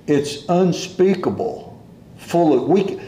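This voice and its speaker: A man preaching a sermon in an unhurried, folksy way, quoting scripture as he goes. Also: on the high side, dark tone, roomy sound.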